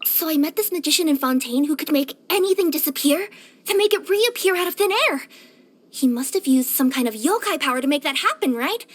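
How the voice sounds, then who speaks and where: A woman voicing a cheerful, curious young female cat yokai in a video game, with a light girlish voice and an expressive, chatty delivery. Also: sits high, brisk. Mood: chatty, bright. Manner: expressive, eager, chatty, wistful at times. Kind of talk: scripted game character voice-over.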